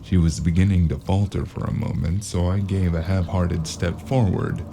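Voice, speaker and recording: A man playing a world-weary, wry noir private investigator in an audio drama, with a gravelly voice. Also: low-pitched, steady tone, moderate pace, background noise. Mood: brooding, wry.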